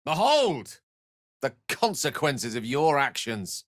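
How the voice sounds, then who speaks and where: A learned, witty wizard from a fantasy video game. His phrasing is ornate and wry, with courteous irony and a lively, swooping melody. The middle-pitched voice is captured close and dry. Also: takes it slowly, dry recording. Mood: urgent, dramatic. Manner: eloquent, wry, theatrical phrasing.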